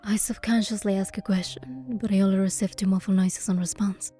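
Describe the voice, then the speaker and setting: A woman voicing a bold, curious young heroine, with expressive storytelling delivery in a fantasy game. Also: medium pitch, fairly quick, faint music behind, close to an everyday voice. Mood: narrating, hushed wonder. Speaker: fan dub voice actor and narrator. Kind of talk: scripted first-person fantasy game narration and dialogue.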